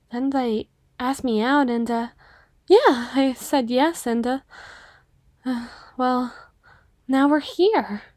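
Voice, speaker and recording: Woman acting a shy, high-voiced young cyclops waitress. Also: a little mellow, dry recording, clean studio sound, natural voice. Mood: reserved, sincere. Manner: hesitant, reserved, rambling earnestly. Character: shy, self-effacing twenty-five-year-old cyclops waitress. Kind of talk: ASMR roleplay acting.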